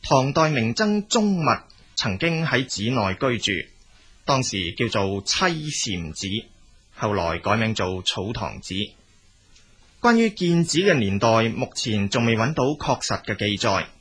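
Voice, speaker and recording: A man with a Cantonese accent reading scripted documentary narration in a measured, explanatory storytelling manner. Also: on the low side, big pitch swings, fairly bright tone, slightly rough. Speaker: narrator of a history and culture programme.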